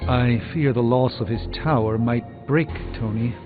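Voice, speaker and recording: A man's voice: medium pitch, unhurried, close to an everyday voice. A man voicing a solemn, erudite sorcerer superhero in a video game, with formal, theatrical diction. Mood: grave, worried. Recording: lo-fi game audio under loud music.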